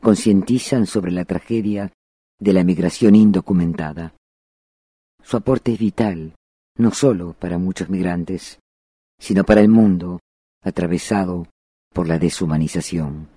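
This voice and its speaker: A man narrating a documentary in a measured, solemn scripted delivery. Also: low voice, dark tone, husky, no echo.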